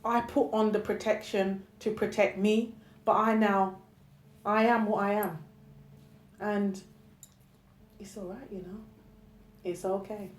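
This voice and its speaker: A woman's voice: mid-range voice, steady tone, slow, pauses often, a little hiss or hum. A woman poet talking reflectively and candidly about her own work, conversational and emphatic, often checking that the listener follows. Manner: candid, emphatic, with frequent "you know" and check-in questions. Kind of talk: reflective, conversational personal talk. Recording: roomy, echoey sound.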